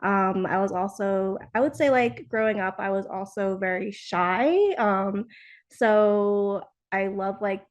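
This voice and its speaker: A young woman from New Jersey, a startup founder, answering interview questions in a casual, chatty way with many fillers and soft laughs. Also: mid-range voice, slow. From New Jersey, United States.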